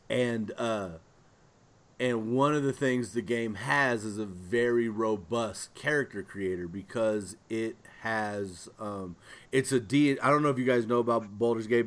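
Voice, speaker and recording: Rambling man in casual podcast chat. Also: lowish voice. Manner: rambling, loosely phrased. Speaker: podcast co-host.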